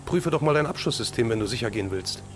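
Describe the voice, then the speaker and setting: A man's voice: mid-range voice, expressive, fast talker, background noise, natural voice. A man playing an easygoing, loyal crime-fighting agent in an amateur radio play, casual and reassuring. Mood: easy, reassuring.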